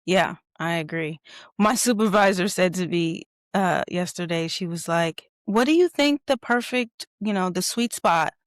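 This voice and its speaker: A woman's voice: lowish voice, unhurried, dry recording, studio quality. A woman hosting a podcast, speaking frankly and reflectively about herself. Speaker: podcast host. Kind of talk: candid conversational talk.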